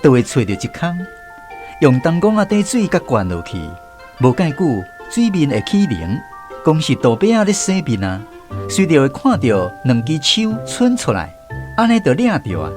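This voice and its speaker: A man with a somewhat high voice narrates a radio drama in measured, storytelling tones. His pitch rises and falls in an animated way, and faint music plays underneath. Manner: measured storytelling, recalling childhood.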